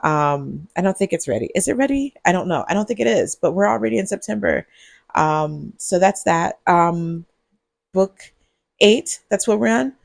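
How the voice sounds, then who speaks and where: A woman vlogger, groggy on cold medicine, talking to her viewers in a husky voice and rambling with giggles. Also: on the low side, expressive, normal speed, dry recording, clean studio sound. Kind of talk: casual vlog monologue. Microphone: earbuds mic.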